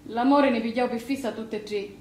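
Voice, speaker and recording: Bossy Sicilian widow at a night wake, played by a woman, high voice, theatrical delivery, roomy sound. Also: steady tone, a little fast, darkish tone, close to an everyday voice. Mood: resigned, bitter. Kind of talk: stage drama acting in dialect.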